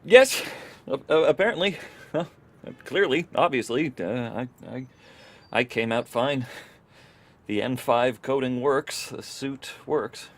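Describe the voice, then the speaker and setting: An actor playing an awkward, hesitant male research scientist in an audio drama, stammering and halting with false starts. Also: mid-range voice. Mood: flustered.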